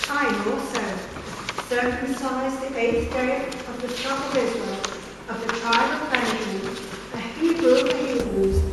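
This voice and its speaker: A woman reading a Bible passage aloud in a measured, careful way. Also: fairly high pitch. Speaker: scripture reader from the congregation. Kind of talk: scripture reading. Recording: distant, echoey hall with background noise.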